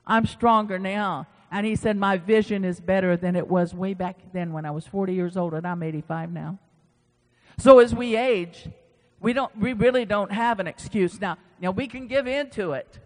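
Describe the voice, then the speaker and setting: A man's voice: high voice, normal speed. A man preaching an informal sermon, conversational and folksy, repeating phrases for emphasis and moving into scripture reading. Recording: reverberant hall.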